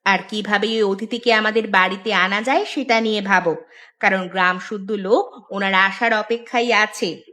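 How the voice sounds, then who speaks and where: Woman doing sneaky greedy village wife, cartoon, mid pitch, bossy n coaxing. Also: fairly even intonation, normal speed, sharp tone, lightly acted. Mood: scheming. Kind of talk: Bengali cartoon dialogue.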